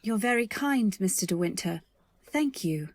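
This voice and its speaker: A woman's voice: medium pitch, expressive, moderate pace, a little mellow. A woman playing a modest, earnest young companion, narrating and speaking politely and wistfully in a radio drama. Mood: demure, polite. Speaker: narrator and heroine. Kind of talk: first-person narration in old-time radio drama.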